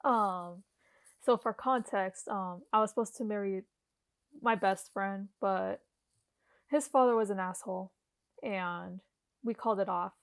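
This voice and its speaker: A woman's voice: mid-range voice, unhurried, long pauses. A woman playing in a casual tabletop game with friends. She speaks hesitantly, with many ums. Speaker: player.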